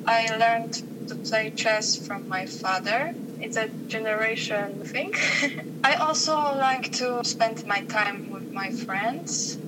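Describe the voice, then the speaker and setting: A woman's voice: on the high side, takes it slowly. A woman studying economics, talking in a light, giggly way. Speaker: economics student. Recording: distant mic with loud background noise.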